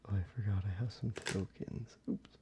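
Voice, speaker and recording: A young man talking softly in a half whisper, casual and rambling, as an ASMR creator. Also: lowish voice, steady tone, normal speed. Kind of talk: soft-spoken ASMR show-and-tell. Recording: slightly muffled, with faint ambient noise.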